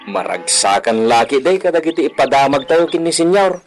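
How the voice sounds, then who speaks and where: A man's voice: on the high side, very animated, fairly quick. A man with a Filipino accent playing a respectful, earnest younger ranch hand in a radio drama. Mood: curious.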